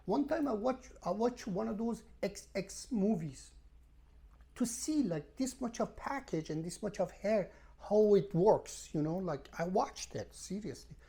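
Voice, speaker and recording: Woman vlogger, english not her first language, kinda gravelly mellow voice, ranting and repeating herself, emphatic. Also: medium pitch, expressive, a little slow. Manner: emphatic, repetitive, exasperated storytelling. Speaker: travel vlogger. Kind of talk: casual rambling rant to camera.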